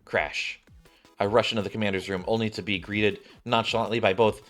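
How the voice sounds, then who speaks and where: A man with a fairly low but bright, forceful voice reads video game story text aloud as a streamer. He trips over words and corrects himself, with faint music behind him. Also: fairly even intonation, a little fast. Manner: stumbles while reading and corrects himself. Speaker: streamer narrating game cutscenes.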